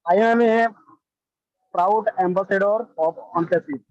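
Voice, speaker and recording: Bangladeshi guy on a dry lo-fi call, high voice, halting fervent testimonial. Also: a little mellow, no room sound. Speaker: brand ambassador giving testimonial. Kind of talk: halting, emphatic speech to a webinar. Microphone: online video call. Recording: dull lo-fi call audio.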